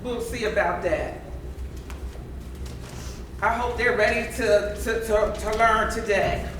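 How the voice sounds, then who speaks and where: An encouraging schoolteacher, played by a woman projects to a theatre in a high, bright voice. She is effusive and earnest. The sound is very reverberant, with a distant mic. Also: slow pace. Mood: defiant. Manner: effusive, praising. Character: earnest encouraging female schoolteacher who believes in her students. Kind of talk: stage play acting, projected. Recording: echoey stage play, distant mic, faint noise.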